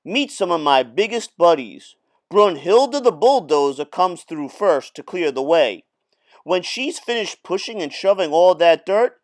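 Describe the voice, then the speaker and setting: A man voicing a friendly, boastful big truck in a folksy storytelling manner for a children's storybook read-aloud. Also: on the high side. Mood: excited.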